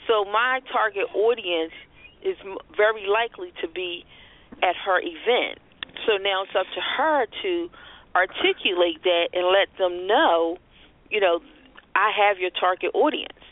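A woman's voice: fairly low pitch, no room sound. A woman co-hosting a radio show, giving unscripted business advice in a conversational, explanatory way over the phone. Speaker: radio show co-host and marketing strategist. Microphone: telephone. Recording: tinny phone-line call-in audio.

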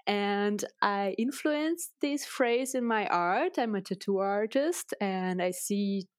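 A woman's voice: mid-range voice, animated, slow, no room sound, studio quality. A woman with a Russian accent, a Russian native speaker, talking as a tattoo artist guest in a casual interview, hesitant and filling her speech with "like".

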